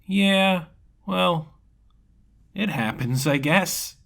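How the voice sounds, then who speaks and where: A narrator voicing an easygoing young swordsman hero with a casual, hesitant, apologetic way of talking in an animated fantasy story. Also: mid-range voice, extremely slow, vocal fry, pitched above the performer's own voice, exaggerated voice.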